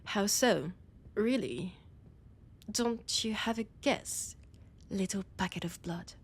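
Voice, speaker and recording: A woman with a French accent playing an annoyed, condescending vampire scholar in a roleplay audio drama, often dropping into breathy whispers. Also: medium pitch, slow pace. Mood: hungry, menacing. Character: annoyed, haughty female vampire scholar, ancient and hungry.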